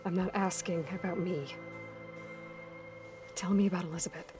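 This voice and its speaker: An actress playing a determined, defiant young huntress in a video game, speaking directly and earnestly with a probing edge. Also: female voice, lowish voice, fairly even intonation, moderate pace, over music, close to an everyday voice. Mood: probing, guarded. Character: determined, defiant young female hunter, blunt and caring. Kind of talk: dramatic video game voice acting.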